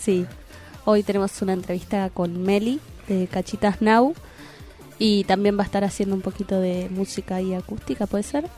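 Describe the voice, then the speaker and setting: A woman's voice: mid-range voice, steady tone, moderate pace, dark tone. A woman with a Rioplatense Argentine accent, co-hosting a radio show in a casual chatty style. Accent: Rioplatense Argentine Spanish. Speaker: radio co-host. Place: community radio studio. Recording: music behind the voice. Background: music.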